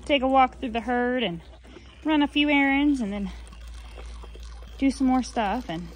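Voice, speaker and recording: A woman's voice: high voice, a little slow, slight background noise, rough recording. A woman in her thirties, a farmer vlogging casually to a phone held at arm's length outdoors on a farm, talking in a chatty, rambling, down-to-earth way and sounding sore and tired. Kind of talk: casual vlog chat to camera. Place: outdoors on a farm, by fields and a cattle barn.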